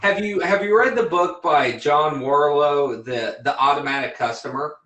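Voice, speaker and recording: A man's voice: a little high, moderate pace, sharp tone. A man hosting an interview, a dentist chatting casually in a blunt, teasing way with frequent restarts. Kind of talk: casual livestream interview chat. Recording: roomy echo.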